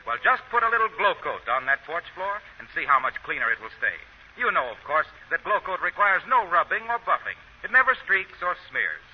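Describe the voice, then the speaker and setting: A man's voice: high-pitched, sing-song, brisk, breathy edge, lo-fi sound. A man reading a 1930s radio advertisement as a polished, salesmanlike announcer. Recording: noisy, band-limited 1930s radio recording.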